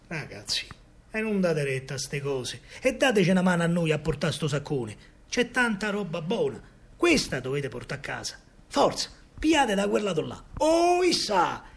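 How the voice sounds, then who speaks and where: A husky working-class man of wartime Rome, recounts events in colloquial Roman speech. His fairly high voice swings widely in pitch, in the coaxing, protective manner of a radio-drama storyteller. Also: exaggerated voice. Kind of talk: dramatic first-person radio monologue in dialect.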